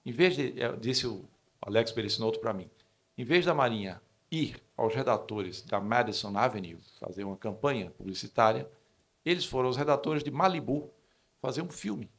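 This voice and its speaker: A man's voice: mid-range voice, lively intonation, normal speed, gravelly, slightly rough. A man speaking as an advertising panelist, telling a story in a live discussion. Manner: storytelling, conversational. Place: stage at a public event.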